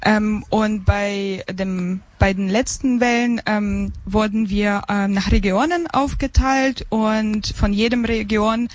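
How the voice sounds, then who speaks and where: A woman's voice: medium pitch, steady tone, slow, few pauses, no room sound, rough recording. A woman in her thirties from Ukraine, a guest in an interview, answering hesitantly with many fillers and restarts. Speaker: guest, migrant council member. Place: summer party at an art gallery.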